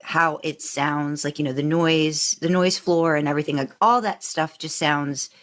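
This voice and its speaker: A woman with a low-set voice talks as the host of a casual interview. Her phrasing is informal and meandering, as in an everyday conversation. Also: fairly even intonation, moderate pace. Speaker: podcast host interviewing a guest.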